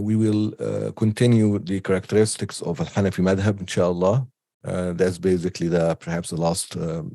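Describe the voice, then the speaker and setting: A man giving an unscripted online lecture with a cold, halting often with ums and restarts. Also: deep voice, mellow, dry recording, studio quality. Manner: hesitant, many ums and restarts. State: has a cold. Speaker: teacher.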